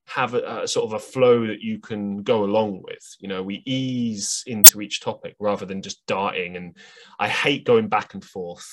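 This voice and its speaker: A man's voice: on the low side, normal speed, a bit bright, low-quality recording. A man hosting his own podcast, talking alone in a rambling, earnest, self-deprecating way with plenty of ums and restarts. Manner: reflective, earnest. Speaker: podcast host. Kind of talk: solo podcast monologue.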